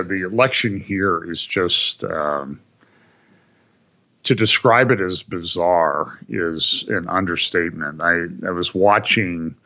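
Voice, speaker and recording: A man's voice: sits low, pushed and bright, highs cut off. A man, a guest on a call-in talk show, chatting about politics in a wry, exasperated way, often going on at length. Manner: exasperated, wry, talks in long runs. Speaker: guest commentator. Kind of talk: casual political chat. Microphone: phone or call line.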